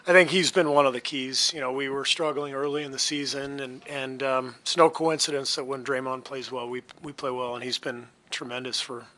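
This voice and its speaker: Man, fifties, bright clear tone, relaxed halting answers, table mic, press room. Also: mid-range voice. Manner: relaxed, halting, many fillers and restarts. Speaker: basketball head coach. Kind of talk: postgame press conference answers. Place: press room before a sponsor backdrop. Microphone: table mic on a stand.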